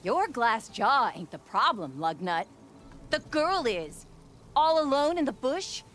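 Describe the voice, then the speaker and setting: An actress playing a worried expedition crew member in a video game, blunt and clipped. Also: female voice, high-pitched, sing-song, slow, a bit bright, a little hiss or hum, full character voice. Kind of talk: video game cutscene acting.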